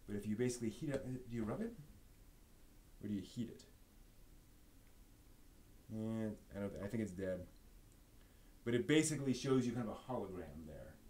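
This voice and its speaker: A man's voice: fairly low pitch, expressive, moderate pace, long pauses, warm tone. A man hosting a casual live show-and-tell stream, rambling and thinking aloud as he handles things. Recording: noisy live stream audio.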